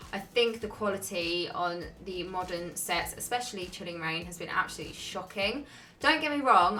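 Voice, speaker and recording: Chatty young woman with a bright voice. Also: lowish voice. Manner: chatty, frequent "um" and "like", laid-back. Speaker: YouTuber answering viewer questions. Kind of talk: casual Q&A chat.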